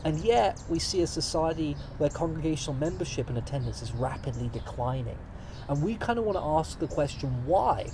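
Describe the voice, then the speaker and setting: A man's voice: a little high, expressive, a little fast, noisy background. A young British man, articulate, enthusiastic and wry, talking as an interview guest in a studio. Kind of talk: casual interview conversation. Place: studio in front of cameras.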